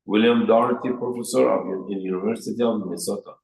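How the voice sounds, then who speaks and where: A man's voice: lowish voice, fairly even intonation, fast talker, vocal fry, darkish tone, roomy sound, poor audio. A man with a Persian accent giving a workshop lecture on marriage as a rabbi, in plain short sentences, raising his voice to act out quoted speakers. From Iran.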